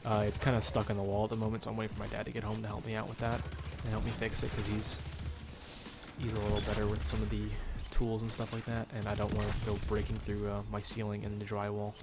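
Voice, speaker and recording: A man's voice: fairly low pitch, monotone, quick pace, over music. Nasal young man rambling over gameplay. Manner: rambling, full of ums and uhs. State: nasal from a cold. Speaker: gaming YouTuber. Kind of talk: casual gameplay commentary.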